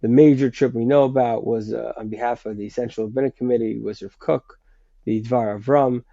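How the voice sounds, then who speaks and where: A congested man with a gravelly, mellow voice talks through history and keeps restarting his sentences. The recording is dry and dull, with a lo-fi sound. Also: mid-range voice, fairly even intonation, a little fast, no echo. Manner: hesitant, many restarts and fillers. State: congested with a sinus infection. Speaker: guest writer. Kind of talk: conversational history explanation.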